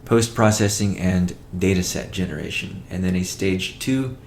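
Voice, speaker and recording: A man presenting a company update as a chief executive, in a measured, matter-of-fact way with halting phrasing. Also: low-pitched, a little mellow, slight background noise. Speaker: company CEO hosting a weekly call. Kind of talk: business update presentation, partly read from notes.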